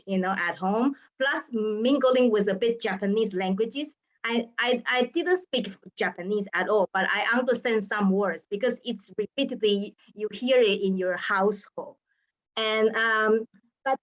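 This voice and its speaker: Halting woman on a call. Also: medium pitch, muffled recording. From Taiwan. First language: Taiwanese Hokkien. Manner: thoughtful, halting, with frequent restarts. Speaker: researcher on a panel. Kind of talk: personal reflection in an online roundtable. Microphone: video call.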